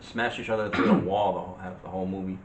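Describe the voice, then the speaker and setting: A man's voice: low voice, fairly even intonation, fast talker. A man chatting casually about movies as a co-host. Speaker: co-host of a film discussion show. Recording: echoey room, off mic, faint noise.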